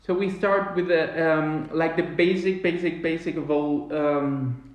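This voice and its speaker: A man, hosting a live-streamed tea tasting chat in an animated way with many restarts, recorded indoors at a table on a webcam. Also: fairly high pitch, a little slow. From Chile. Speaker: tea company host. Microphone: webcam or phone at table distance. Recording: very echoey room.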